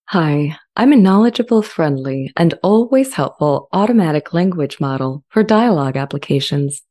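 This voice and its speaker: A friendly, self-aware artificial intelligence voiced by a woman in a scripted dramatization. The voice sits low and is soft-edged and mellow. Delivery is measured, articulate and earnest, close on a studio mic. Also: animated. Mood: friendly, eager. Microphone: studio condenser mic with pop filter, close.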